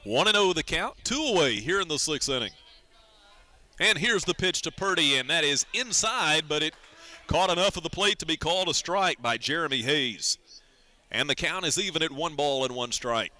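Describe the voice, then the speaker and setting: An American man calling a baseball game as a radio play-by-play announcer, in a measured, descriptive way. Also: a little high, lively intonation, sharp tone. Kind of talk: live sports radio commentary.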